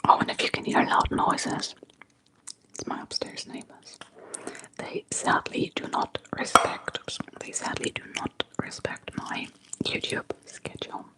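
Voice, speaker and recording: An ASMR streamer from Germany, talking in a soft, unvoiced whisper with many fillers during a live stream at home. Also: unhurried, low-quality recording. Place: apartment at home. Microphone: close microphone.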